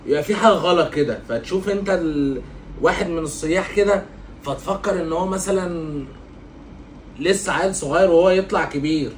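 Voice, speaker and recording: A man's voice: on the high side, a little hiss or hum, close to an everyday voice. A man with an Egyptian accent playing a bossy, impatient man who scolds and lectures a clueless youngster. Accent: Egyptian Arabic. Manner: scolding, bossy, quick to shout. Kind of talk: comedy sketch.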